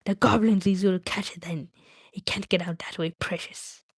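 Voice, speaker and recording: A woman's voice: mid-range voice, steady tone, normal speed, husky, no room sound. Woman performing wretched cave creature, suspicious, whispery hiss, muttered baby-talk, storybook villain, dry sound. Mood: suspicious, muttering. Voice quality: whispery, hissing.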